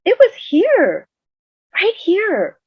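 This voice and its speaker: A woman's voice: extremely high pitch, huge pitch swings, drawn-out words, no room sound, full character voice. A woman acting a frightened teenage girl who faces a deadly curse, in a dramatic table read over a video call. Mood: shaken, insistent. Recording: muffled video call audio.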